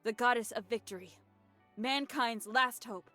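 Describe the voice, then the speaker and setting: An actress playing a steadfast, fierce young female soldier in a video game drama, with a firm, deliberate delivery. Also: a little high, over music, close to an everyday voice. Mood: wounded, defiant.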